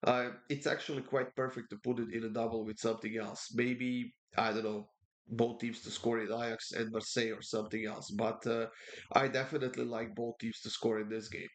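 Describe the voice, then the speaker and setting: A man's voice: medium pitch, little pitch movement. Congested man giving betting picks. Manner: halting, many uh fillers and repeats. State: congested from a cold. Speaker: sports betting tipster. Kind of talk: casual betting preview talk.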